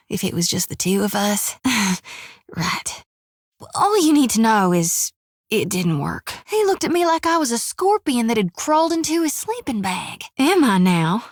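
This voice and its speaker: Xoti, a folksy young priestess. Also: female voice, fairly high pitch, sing-song, normal speed, no echo, studio quality. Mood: lovesick. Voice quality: folksy country turns of phrase. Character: young woman priestess, earnest and lovesick. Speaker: video game character. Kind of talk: video game voice acting.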